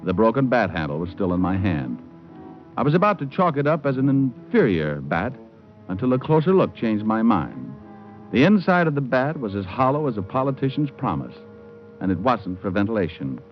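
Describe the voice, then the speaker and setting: An actor playing a cool, wisecracking private detective, narrating with dry wit in hardboiled old-time radio style. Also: male voice, lowish voice, sing-song, normal speed. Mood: wry, cool. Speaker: lead and narrator. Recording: dull old radio recording. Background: music.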